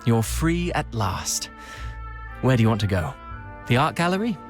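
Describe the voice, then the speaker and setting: Suave royal played by a male actor, mid-pitched, theatrical and wry, refined game dialogue over faint music. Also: big pitch swings, normal speed, lightly acted. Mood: relieved, playful. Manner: theatrical, refined formal diction. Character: suave aristocratic prince, eloquent, wry, caring toward friend. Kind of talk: video game voice acting. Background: background music.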